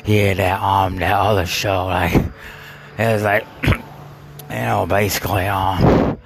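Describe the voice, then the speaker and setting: A man's voice: low voice, little pitch movement, slow, vocal fry, very noisy. A man telling a rambling personal story, full of fillers, restarts and bursts of laughter at himself. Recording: music playing behind.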